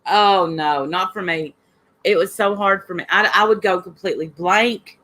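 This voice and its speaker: A woman's voice: fairly low pitch, moderate pace, fairly bright tone. A woman hosting a casual chat, candid and self-deprecating, who swears casually. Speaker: host.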